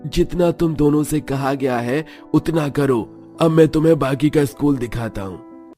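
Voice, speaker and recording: A stern school attendant voiced by a man in a cartoon horror story. He has a slightly high male voice and gives firm instructions over light music. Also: moderate pace, dark tone, lightly acted. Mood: stern, instructive. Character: stern male school peon giving warnings. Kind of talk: Hindi cartoon story dialogue.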